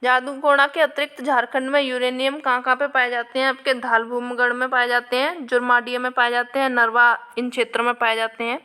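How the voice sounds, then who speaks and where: Bright, high-voiced woman teacher. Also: level tone, a little fast, hardly any pauses, clear, resonant tone. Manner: explains each answer and repeats key points; addresses viewers with frequent "आपके". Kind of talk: exam question walkthrough lesson.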